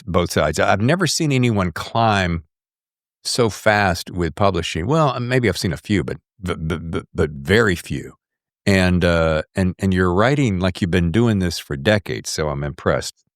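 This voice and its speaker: A man with a low, very lively voice hosts a casual podcast interview. He is chummy and effusive, leaning on key words and using plenty of slang. The sound is dry and close. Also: moderate pace, no room sound. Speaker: podcast host. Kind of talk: casual interview chat, addressing guest and listeners.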